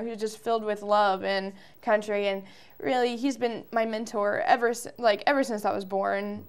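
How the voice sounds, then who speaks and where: Casting: young American woman, early twenties, smooth clear voice, chatty informal interview guest. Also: medium pitch. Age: early 20s. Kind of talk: casual interview answers.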